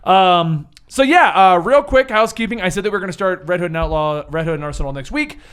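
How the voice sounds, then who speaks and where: Casual male game master, high voice, animated and informal, for tabletop show hosting. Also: fast, smooth. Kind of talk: casual banter and recap for a tabletop gaming show.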